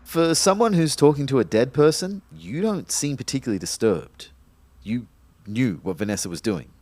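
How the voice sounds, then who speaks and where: A man's voice: medium pitch, sing-song, normal speed, a little mellow, no room sound. A man playing an indignant, suspicious young man in an audio drama, insistent and questioning.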